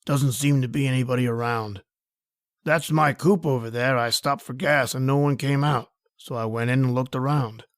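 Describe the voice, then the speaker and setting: A man playing a casually friendly but quietly pushy stranger in an old-time radio horror drama. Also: mid-range voice, steady tone, moderate pace, close to an everyday voice. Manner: folksy, casual, insistent.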